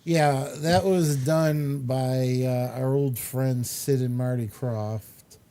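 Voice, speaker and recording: A man with a very mellow, dark voice hosts a casual chat show. He talks slowly at a middling pitch, rambling and hesitating as he goes. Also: fairly even intonation. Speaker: talk show host. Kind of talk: casual chat, reviewing entertainment.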